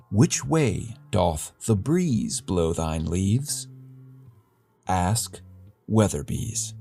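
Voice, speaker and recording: A man playing a pompous, deadpan host of a satirical dystopian radio newscast, with florid, arch delivery. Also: lowish voice, breathy edge, full character voice. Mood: wistful, salesman-like. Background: music.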